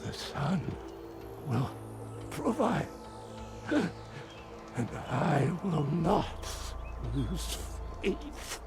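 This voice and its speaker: An actor playing a wounded, devout religious leader in a video game, speaking in halting, reverent proclamations. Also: male voice, on the high side, big pitch swings, exaggerated voice. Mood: fervent hope. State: wounded, weak. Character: dying male religious leader, devout and feverishly hopeful. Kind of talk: video game cutscene acting. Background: music.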